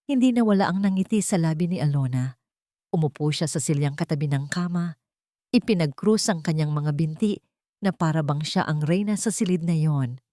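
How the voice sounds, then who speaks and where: A woman's voice: sits low, moderate pace, mellow, no room sound, studio quality. A woman storyteller reading a scripted audiobook in a dramatic narrative style.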